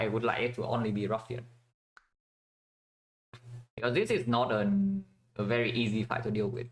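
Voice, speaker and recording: A man's voice: medium pitch, rough recording. A man from Vietnam giving casual commentary while playing a strategy game, thinking aloud with many fillers and restarts.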